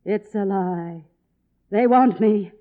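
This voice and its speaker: A woman's voice: medium pitch, takes it slowly, natural voice. An actress playing an anguished, pleading woman in love, with dramatic, emotional 1950s radio melodrama delivery. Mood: wounded, defiant. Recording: tinny vintage radio drama recording.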